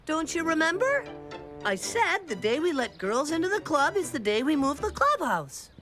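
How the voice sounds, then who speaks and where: A woman's voice: high voice, sing-song, normal speed, fairly bright tone. A woman voicing a scheming, self-important little boy in a cartoon, cajoling and declaiming to his pals. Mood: smug. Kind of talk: cartoon voice acting. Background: cartoon music.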